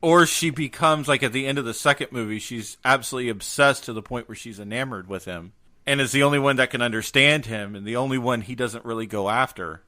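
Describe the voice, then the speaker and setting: Bright-voiced joking male podcast co-host. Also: mid-range voice, fast talker. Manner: joking, rambling, grumbling rants. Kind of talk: casual chat.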